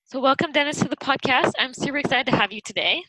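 A woman's voice: sits high, fast, bright, forceful tone, no echo, rough recording. A woman hosting a health podcast, introducing her guest and asking questions in an upbeat, enthusiastic way. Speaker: podcast host, nutrition practitioner and health coach.

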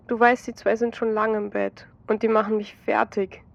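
A woman voicing a tired ex-husband with an Austrian accent, talking quietly and drowsily. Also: medium pitch, little pitch movement, moderate pace, muffled recording, lightly acted. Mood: sleepy. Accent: Austrian German. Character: tired, sleepy ex-husband, softening.

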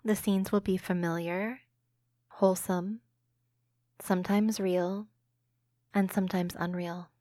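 A woman hosting a guided imagery meditation podcast, speaking slowly and gently into a close mic. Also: lowish voice, steady tone, no room sound. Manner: slow, soothing, gentle delivery.

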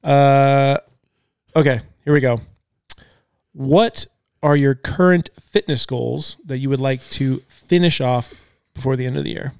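A man's voice: medium pitch, unhurried, gravelly, a little mellow, smooth, no room sound, clean studio sound. A man hosting a casual podcast, joking around, swearing easily and asking his guest questions. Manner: jokey, laid-back, swears casually. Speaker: podcast host.

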